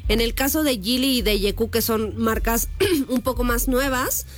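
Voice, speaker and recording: This is a female co-host, chatting about cars in a teasing, lively way. Her voice sits somewhat high. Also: moderate pace, faint music behind. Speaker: car show co-host. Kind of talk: casual car review chat.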